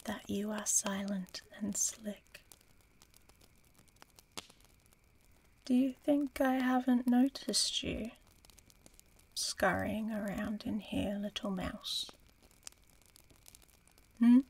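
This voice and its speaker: A woman voicing an ancient female dragon in an ASMR roleplay, proud and curious, with a breathy, deliberate, teasing menace. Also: mid-range voice, fairly even intonation, darkish tone, exaggerated voice, rough recording. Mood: mocking.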